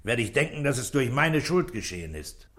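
An actor in a 1960s radio play, playing a dutiful, brooding police inspector with a terse, deliberate manner. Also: male voice, medium pitch, big pitch swings, a bit bright, soft background music. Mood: pensive, troubled. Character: brooding, dutiful male police inspector, gruff but caring.

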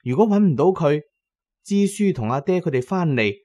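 Man voicing an anxious, high-pitched young huntress. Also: lively intonation, moderate pace, warm tone. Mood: worried. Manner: fretful, urgent.

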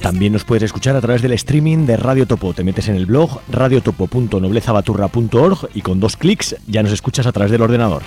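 A man's voice: lowish voice, a little fast, few pauses, warm tone. A man with an accent from Spain, informally co-hosting a community radio show from a studio. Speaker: radio co-host. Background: music.

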